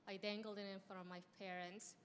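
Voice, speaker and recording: A young woman from Korea tells her story animatedly, laughing as she goes, her handheld mic picking up a long room reverb. Faint background noise sits under her mid-pitched voice. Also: little pitch movement, brisk. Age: young adult. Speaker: startup co-founder on a panel. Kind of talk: storytelling on a stage panel. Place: large lecture room with an audience. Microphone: handheld microphone.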